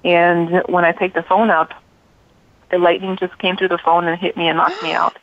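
Brief: forties woman from Liberia, calm storyteller, phone-line sound. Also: on the low side, normal speed, very noisy. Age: 40s. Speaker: guest. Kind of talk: telling her life story.

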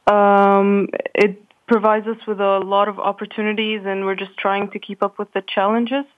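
A woman's voice: medium pitch, flat delivery, normal speed, narrow, tinny audio. A young woman from Qatar, an engineering student, answering interview questions hesitantly with many fillers. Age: young adult.

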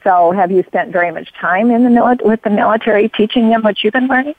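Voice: medium pitch, quick pace, no echo. A radio show host interviewing a guest, talking in long rambling runs full of fillers and restarts and drifting into personal stories. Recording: phone-like narrow sound.

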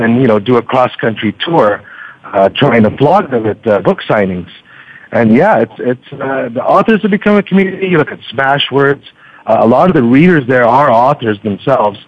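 A man talking casually and somewhat haltingly as a guest in an interview. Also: medium pitch, no echo, dull audio. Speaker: podcast guest, book reviewer.

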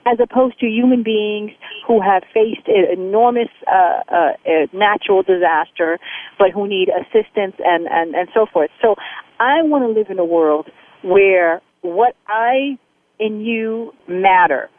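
A woman from Haiti speaks as a guest in a radio discussion, her voice of ordinary pitch for a woman. She talks with strong feeling and keeps restarting her sentences. Her voice is squeezed into a narrow telephone band. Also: no room sound. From born in Haiti, raised in the United States. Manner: impassioned, with many halting restarts. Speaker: guest, aid worker. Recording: phone-like radio line.

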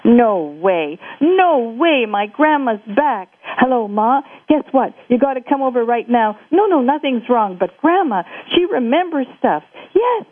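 A woman's voice: fairly high pitch, lively intonation, slow, pitched above the performer's own voice. A woman reading a play, voicing an eager young boy who talks with breathless urgency. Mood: excited. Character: excitable young boy visiting grandma. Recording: tinny phone line.

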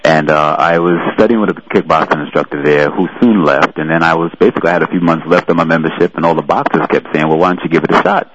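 A man in his thirties from New York City, a fitness trainer interviewed on a radio show, telling his story in a relaxed way with many fillers. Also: rumbling low, fast, hardly any pauses, muffled recording, slight background noise. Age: 30s.

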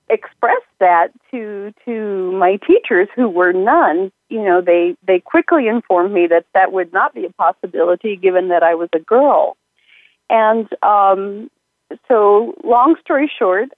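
A woman minister telling her life story as an interview guest, measured and pausing with fillers. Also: medium pitch, no echo. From United States and northern Italy. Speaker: minister and money coach guest. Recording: dry tinny phone line.

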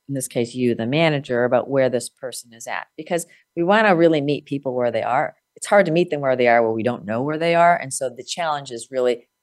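A woman's voice: extremely low pitch, darkish tone, dry recording, studio quality. A woman hosting an interview, giving reflective coaching advice with frequent "like" and check-in questions. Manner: reflective, uses filler "like" and asks check-in questions. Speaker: host.

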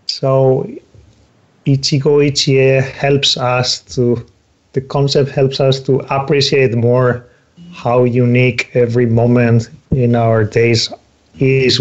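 Casting note: man in his thirties, from Spain, native Spanish speaker, halting author guest, dull lo-fi audio. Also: mid-range voice. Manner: halting, searching for words. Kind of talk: casual interview conversation.

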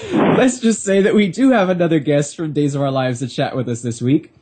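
A man's voice: fairly high pitch, moderate pace, background noise. A man hosting a live internet radio show, stuffy from a cold, chatty and playful. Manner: playful, chatty, self-mocking.

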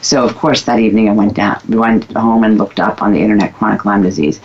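Outspoken woman guest, husky low voice, emphatic and candid, muffled lo-fi recording. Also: fairly even intonation, quick pace. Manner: candid, emphatic, self-described loudmouth. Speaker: guest, former physical therapist. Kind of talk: casual conversation. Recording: dull lo-fi recording.

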